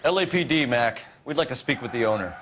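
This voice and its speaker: Man playing a stern detective, swinging pitch. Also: expressive, fast, a bit bright, faint music behind, lightly acted. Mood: brisk. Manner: clipped, interrogating, threatening. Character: stern, hot-tempered male police detective. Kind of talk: video game cutscene acting.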